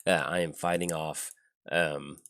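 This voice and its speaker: A man's voice: rumbling low, level tone, measured pace, fairly bright tone, smooth. A man hosting casual card game commentary, thinking aloud with lots of ums and uhs while getting over a chest cold that leaves his throat slightly scratchy. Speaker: channel host.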